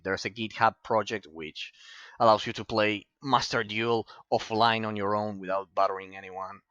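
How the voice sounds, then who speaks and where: A man's voice: sits low, sharp tone, no room sound, dull audio. A man with an Italian accent giving rambling, opinionated commentary on a game collection, with many restarts. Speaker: game reviewer. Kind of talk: casual commentary over game footage.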